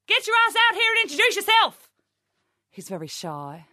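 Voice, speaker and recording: A woman's voice: on the low side, fairly bright tone, no echo, studio quality. A woman doing a brash, insulting mock-motivational comedy monologue as a life coach. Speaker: comic playing a life coach.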